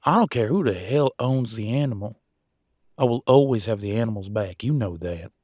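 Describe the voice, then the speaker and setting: Man playing a low-voiced werewolf husband. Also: expressive, moderate pace, darkish tone, no echo, clean studio sound. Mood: indignant, angry. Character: proud, wounded young werewolf husband and country vet. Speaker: voice actor. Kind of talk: dramatic roleplay audio, one side of a couple's argument.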